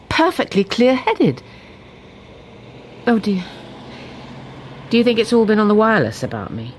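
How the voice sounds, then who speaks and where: An actress playing a genteel older English wife who has run away, confiding and quietly defiant, in a radio drama. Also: female voice, mid-range voice, breathy edge. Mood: polite, dreamy.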